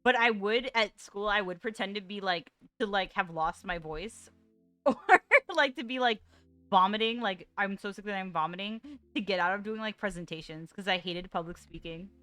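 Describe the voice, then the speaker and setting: A woman chatting on a group podcast, candid and low-key as she tells a school story. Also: normal speed, bright, forceful tone, no echo, faint music behind. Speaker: podcast co-host, former English teacher. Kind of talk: casual group chat.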